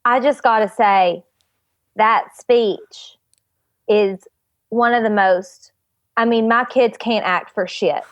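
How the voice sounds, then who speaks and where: A woman's voice: on the high side, slow, pauses often, lo-fi sound. Woman playing a brash, blunt child-talent agent. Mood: brash, impressed. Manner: blunt, hard-selling. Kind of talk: improvised comedy character talk.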